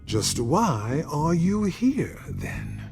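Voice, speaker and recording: A courtly, theatrically swooping vampire boss. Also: male voice, a little high, huge pitch swings, vocal fry, heavily acted. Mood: probing. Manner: formal, deliberate, theatrical phrasing. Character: courteous, guarded male vampire faction boss, weary and suspicious. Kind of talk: dramatic video game cutscene acting. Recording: music behind the voice.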